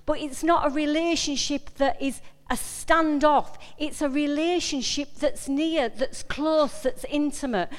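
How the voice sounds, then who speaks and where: A woman's voice: high-pitched, unhurried, few pauses. A woman with a Yorkshire accent giving an informal, personal sermon, emphatic and conversational, repeating phrases and putting questions to her listeners. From Yorkshire, England. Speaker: preacher. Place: large indoor meeting room. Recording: echoey hall with long reverb.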